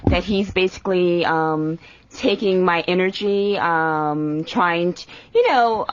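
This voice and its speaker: A woman's voice: fairly low pitch, takes it slowly. A woman guest on a talk show, answering casually and thoughtfully while searching for her words. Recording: dull lo-fi radio audio.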